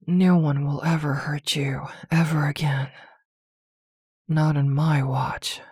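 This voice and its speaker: A woman playing a possessive, protective witch girlfriend in an intimate ASMR roleplay, speaking softly and soothingly with hesitant pauses. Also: low voice, husky, dry recording, clean studio sound, exaggerated voice.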